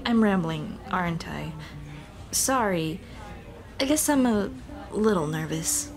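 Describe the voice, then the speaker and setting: A woman's voice: lowish voice, animated, takes it slowly. A woman playing a shy, flustered monster girl in an ASMR roleplay, with a nervous, stammering, rambling way of talking. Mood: nervous, bashful. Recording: music behind the voice.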